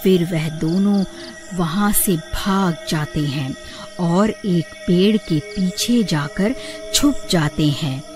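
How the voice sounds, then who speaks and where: Slow-paced woman narrating a story. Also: on the low side, over music.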